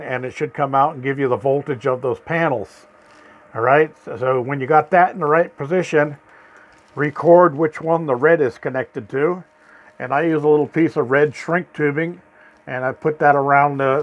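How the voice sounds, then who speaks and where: A man's voice: a little high, highs cut off. Folksy man explaining his solar wiring. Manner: folksy, repeats words, asks "okay?" after steps. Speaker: homestead channel host. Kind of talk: hands-on how-to demonstration. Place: small solar battery shed, indoors.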